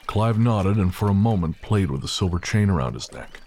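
A man narrating an audio drama in a measured, somber storytelling manner. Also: deep voice, expressive, darkish tone, breathy edge. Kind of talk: scripted narration.

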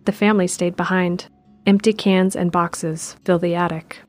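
A woman giving steady scripted narration of an audio drama in the present tense. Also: fairly low pitch, normal speed, faint music behind.

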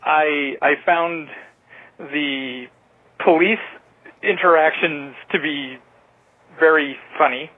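Over a narrow, tinny phone line comes a man with a fairly high voice. He is a caller leaving casual feedback on films. He rambles in a wry way, with tentative asides. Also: takes it slowly, long pauses. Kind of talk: casual movie review by voicemail.

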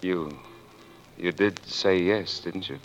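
Deep-voiced earnest suitor. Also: male voice, steady tone, takes it slowly, faint music behind. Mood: nervous, hesitant. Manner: hesitant, stammering. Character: earnest, fumbling, good-natured suitor proposing marriage. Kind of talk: 1940s radio drama acting. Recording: dull, noisy 1940s radio recording.

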